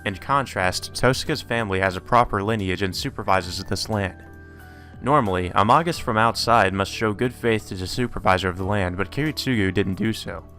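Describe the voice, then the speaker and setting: A man's voice: fairly low pitch, moderate pace, pushed and bright, faint music behind. A man doing a let's play, reading visual novel text aloud with occasional asides. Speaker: let's player.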